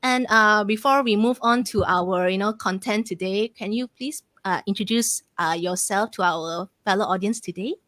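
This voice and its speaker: A woman's voice: medium pitch, normal speed, few pauses, a bit bright, dry recording. Young woman hosting, close dry sound. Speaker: interview host, online business mentor. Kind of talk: casual live-stream interview hosting.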